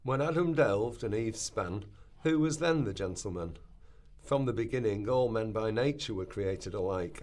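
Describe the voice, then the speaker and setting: A fervent rebel preacher of the Middle Ages, acted by a man with a fairly low voice. He exhorts the common people in declaimed sermon and rhyme, in the manner of a radio play. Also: darkish tone, lightly acted.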